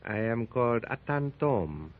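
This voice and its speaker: An actor playing a scheming Egyptian adventurer who speaks in a measured, sly and formal way in a 1930s radio drama. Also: male voice, mid-range voice, big pitch swings. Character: smooth scheming Egyptian adventurer, adult man. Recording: tinny old 1930s radio recording.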